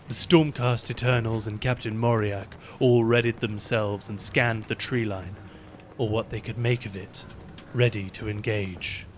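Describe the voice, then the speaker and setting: A man's voice: on the low side, noisy background. Man narrating a grim fantasy battle. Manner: measured storytelling. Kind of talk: dramatic scripted narration.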